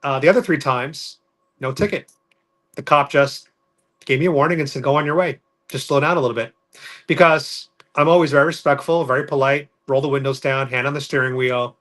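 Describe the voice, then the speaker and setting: Male podcast guest from New York, bright and forward tone, level matter-of-fact delivery. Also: mid-range voice, steady tone, a little fast. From New York City. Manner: matter-of-fact, orderly storytelling. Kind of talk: casual podcast interview.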